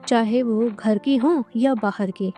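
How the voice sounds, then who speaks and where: Mellow, higher-pitched woman storyteller. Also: takes it slowly, soft background music. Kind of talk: scripted narration of a moral story.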